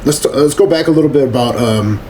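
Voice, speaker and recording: A man hosts a podcast and interviews his guests in an easygoing, slightly halting way. He often pauses on fillers before he gets to the question. Faint background noise sits under his voice. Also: mid-range voice, dark tone. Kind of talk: casual interview.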